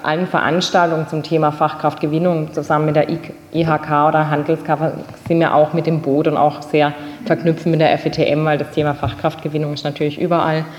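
A man's voice: fairly high pitch, flat delivery, fast. A man adding information off the cuff in a committee meeting. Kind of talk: spontaneous addition in a committee meeting. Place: large indoor meeting room. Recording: echoey hall with noise and clicks.

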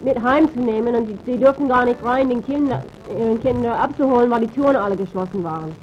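Hesitant woman interpreter, slightly high voice. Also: quick pace. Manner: hesitant, searching for words. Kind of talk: consecutive live interpreting. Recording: dull, faintly noisy archive tape.

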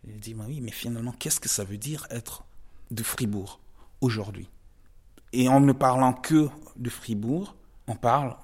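A man from the DR Congo, a guest artist giving measured, reflective interview answers with frequent pauses and emphatic rises. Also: medium pitch, a little mellow, slightly rough. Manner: measured and thoughtful, pausing often, rising to emphatic stresses.

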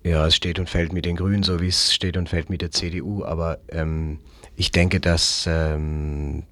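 A man speaking as a political guest in an unscripted discussion, haltingly searching for words and repeating phrases. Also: sits low, level tone, fairly bright tone. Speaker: interview guest, Green local politician.

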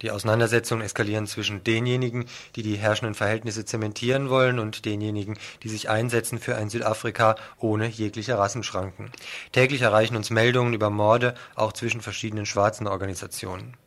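A man's voice: fairly low pitch, little pitch movement, quick pace, a bit bright. A man presenting scripted news on the radio, reading out headlines and reports as the host.

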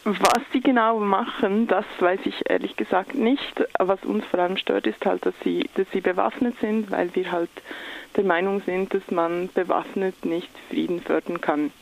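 A woman's voice: mid-range voice, fairly even intonation, normal speed, gravelly, a little hiss or hum, rough recording. A woman with a Swiss German accent, speaking as an activist spokesperson in a radio interview, somewhat hesitant as she searches for words. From Switzerland.

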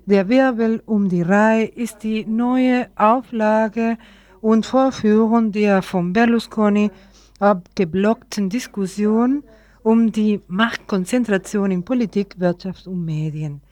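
A woman's voice: mid-range voice, slow pace, a little mellow, muffled recording. A woman reading scripted news items as a radio newsreader.

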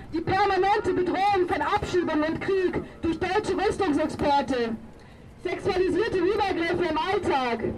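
A woman activist giving a prepared, resolute political speech at an outdoor demonstration. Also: very high voice. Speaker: demonstration speaker, feminist activist. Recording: distant microphone at outdoor rally.

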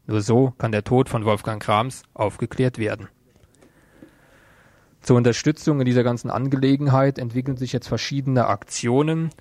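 A man reads a scripted radio news bulletin. His voice sits at an average pitch, and the studio sound is dry, with very little room in it. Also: dry recording. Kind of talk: scripted news reading with some ad-libbing.

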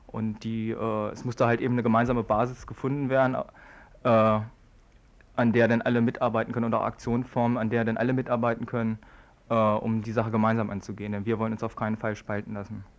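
A young man, a student activist, answering interview questions in a halting, wordy, colloquial way. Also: lowish voice, little pitch movement. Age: young adult. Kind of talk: informal spoken interview answers. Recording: dull lo-fi radio recording.

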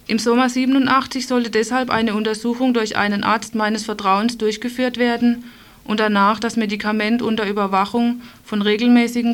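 A woman presenting on radio, reading out a prepared written statement. Her voice sits a little high, and she follows the text closely.

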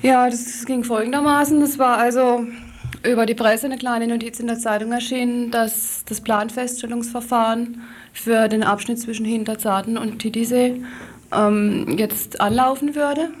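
A woman's voice: a little high, fairly even intonation, normal speed, a little hiss or hum. A woman giving unscripted explanatory answers as an activist interview guest, hesitating as she searches for words.

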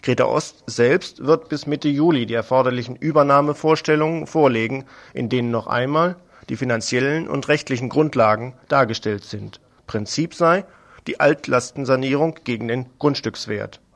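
A man's voice: medium pitch, normal speed. A man presenting radio news, reading a prepared report.

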